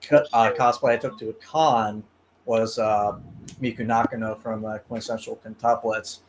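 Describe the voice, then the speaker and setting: A man's voice: on the low side, little pitch movement, moderate pace. Young man, early twenties, hesitant, lots of fillers, faint background hiss. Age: 20s. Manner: hesitant, many fillers, self-deprecating. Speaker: guest cosplayer. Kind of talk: casual podcast chat.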